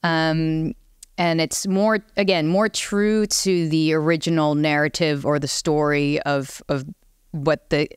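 Clear, low-voiced woman chatting candidly. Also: steady tone, slow pace, no echo, studio quality. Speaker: panelist on a video game talk show. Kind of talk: casual panel discussion.

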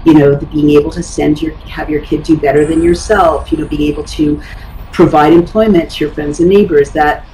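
A woman shares opinions in a casual way. Her voice is low and dark, with faint noise in the background. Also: steady tone, fairly quick, low-quality recording.

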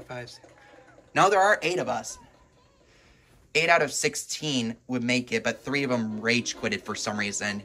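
A man doing casual, excitable gameplay commentary as a video host, reacting aloud as he plays. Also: mid-range voice. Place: indoors at home, filming a TV.

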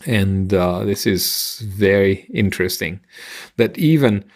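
A middle-aged man explains science on a podcast in a fairly low voice with plenty of vocal fry. He talks like a patient teacher, pausing and halting as he goes, in a conversational style. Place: indoor room on a video call. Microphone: headset and microphone close up.

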